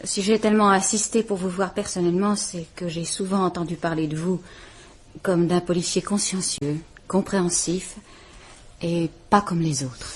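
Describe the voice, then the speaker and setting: An actress playing a frightened, formal estranged wife who is visiting a police inspector, speaking in a restrained, measured way. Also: female voice, on the low side, fairly even intonation, husky. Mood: polite. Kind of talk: 1950s French radio drama acting.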